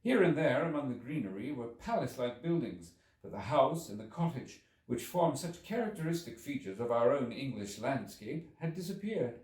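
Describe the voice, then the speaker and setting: A man reading a literary story aloud as an audiobook narrator, with an expressive, measured, theatrical delivery and deliberate pauses. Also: medium pitch. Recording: roomy echo, distant from microphone.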